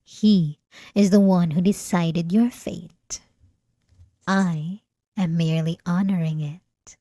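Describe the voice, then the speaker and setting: A woman's voice: on the low side, steady tone, warm tone, dry recording. A woman playing a centuries-old, possessive vampire lover in an ASMR roleplay, silky and condescending, with sweet menace, close to the mic. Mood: wounded, cold. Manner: slow, silky, condescending endearments, teasing menace. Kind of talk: ASMR roleplay, dramatic monologue. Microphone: close, dry studio-style mic.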